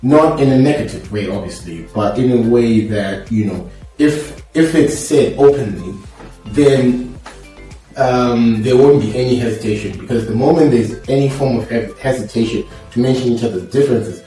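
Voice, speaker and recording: A young man from Zimbabwe hosting a casual panel chat, relaxed and jokey, thinking aloud with plenty of fillers. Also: mid-range voice, normal speed. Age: young adult. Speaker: host. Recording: echoey room, mic at a distance, music underneath.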